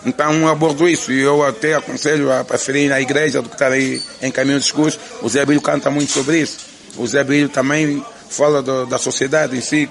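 A man's voice: medium pitch, vocal fry. A man with an Angolan Portuguese accent, a musician answering interview questions in an earnest, reflective way, restarting phrases often. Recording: crowd noise behind.

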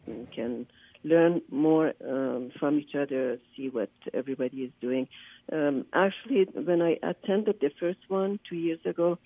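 A man whose first language is Persian, a linguist giving a radio interview by phone, often pausing to search for words. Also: a little high, no room sound. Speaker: linguist and conference organizer, interview guest. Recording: tinny, dry phone line.

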